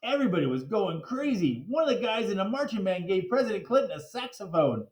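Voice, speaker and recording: A man reading a children's book aloud in an animated storytelling style. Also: sits high, a little fast. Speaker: story reader. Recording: roomy, echoey sound.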